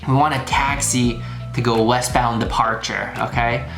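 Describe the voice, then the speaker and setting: A man's voice: mid-range voice, steady tone, measured pace, vocal fry. A man giving a casual, informal tutorial as a video instructor, repeating key phrases for emphasis. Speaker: flying instructor, video host. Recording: roomy echo, music behind. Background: background music.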